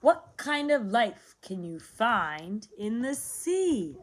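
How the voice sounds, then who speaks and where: A woman's voice: a little high, big pitch swings, takes it slowly, a little hiss or hum. A woman reading a picture book aloud as a lesson for young children, explaining simply, asking questions and repeating words.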